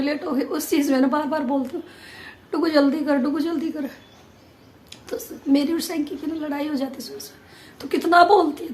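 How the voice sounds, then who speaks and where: A woman vlogger chatting casually to viewers, her voice hoarse and nearly gone, pushing hard to get the words out. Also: sits high, darkish tone, slight background noise. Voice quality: strained, forced voice.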